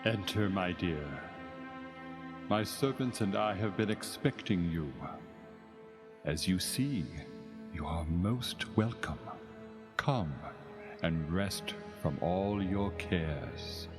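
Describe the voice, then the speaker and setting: A man plays a courtly, inviting ruler in a radio fantasy drama. His voice is on the low side and slow, stately, with long reverb and music around it. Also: lively intonation. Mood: welcoming. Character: courtly, welcoming Sultan with a veiled threat. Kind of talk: radio play acting.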